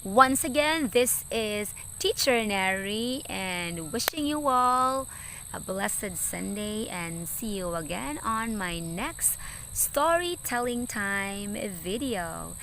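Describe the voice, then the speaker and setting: Female children's storyteller, teacherly, expressive and unhurried, with highly varied intonation. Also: slight background noise, poor audio. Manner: deliberate, expressive phrasing for children. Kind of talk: animated read-aloud children's storytelling.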